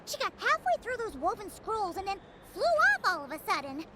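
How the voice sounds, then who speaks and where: A woman voicing a small, excitable, girlish fairy companion in an anime video game, exclaiming and calling herself by name. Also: very high voice, fairly quick, heavy background noise. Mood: worried, puzzled. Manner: exclamatory, refers to herself in third person. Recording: game music behind.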